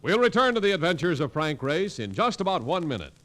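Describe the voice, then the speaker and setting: A man's voice: a little high, sing-song, quick pace, a bit bright. A man announcing a 1940s radio drama. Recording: vintage radio recording. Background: organ music.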